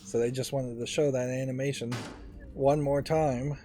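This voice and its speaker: A let's player giving casual, halting, thoughtful commentary on a video game, musing as they go. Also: medium pitch, fairly even intonation, mellow. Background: game audio and music.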